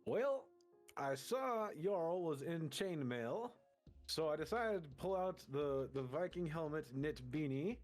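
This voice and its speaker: A man who is a regular on a podcast, chatting casually with deadpan jokes. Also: on the high side, takes it slowly, faint music behind. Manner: deadpan joking. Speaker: show regular. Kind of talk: casual chat.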